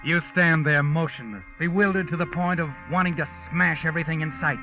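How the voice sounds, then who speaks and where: Actor as a high-voiced, taunting mystery narrator. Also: male voice, a little fast, close to an everyday voice. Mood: ominous. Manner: taunting, insinuating, second-person narration. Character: sardonic, all-knowing shadowy male storyteller, taunting. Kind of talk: 1940s radio mystery narration. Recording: dull vintage radio with music. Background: music.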